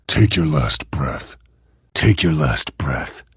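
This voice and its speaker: A man voicing a grim, controlled masked vigilante detective in a video game, speaking tersely and deliberately in a husky low growl. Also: very animated, a bit bright, no echo, clean studio sound, heavily acted. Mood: menacing. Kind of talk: video game voice acting, terse investigative lines.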